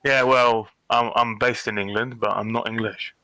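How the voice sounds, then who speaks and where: A man's voice: medium pitch, steady tone, moderate pace, bright, forceful tone, no echo, rough recording. A man from Poland, living in England, chatting casually and laughing easily in a voice chat. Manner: friendly, laughs easily, self-deprecating. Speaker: chat participant.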